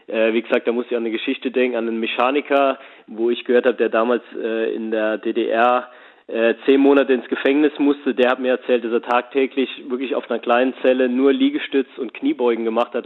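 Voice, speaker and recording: Man on a tinny phone line. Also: medium pitch. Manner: explanatory, with many fillers. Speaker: athletic coach, phone guest. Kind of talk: casual phone interview. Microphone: telephone.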